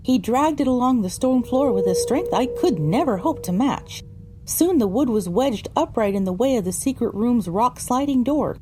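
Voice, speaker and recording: A woman's voice: fairly high pitch, lively intonation, moderate pace, mellow, slight background noise, close to an everyday voice. A woman narrating a first-person story for an audio drama, reading descriptively with dramatic phrasing.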